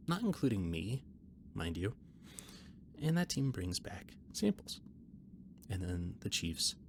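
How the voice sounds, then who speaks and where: A man's voice: deep voice, gravelly, full character voice. A man playing a flustered, rambling catboy who cooks aboard a spaceship, hesitant and stammering, in an intimate roleplay audio drama. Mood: explanatory, rambling. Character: flustered, rambling catboy ship's cook and mechanic, eager friend. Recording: noticeable background noise and ambience.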